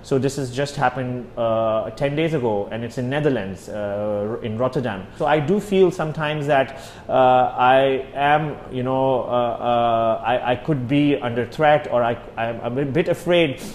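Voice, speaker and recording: A man in his thirties from Pakistan, an Urdu speaker, telling his own story in an interview, pausing often with fillers and restarts. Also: medium pitch, a little mellow, slight background noise. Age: 30s. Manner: hesitant, many fillers and restarts. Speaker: exiled journalist. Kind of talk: personal testimony interview. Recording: roomy with faint ambience.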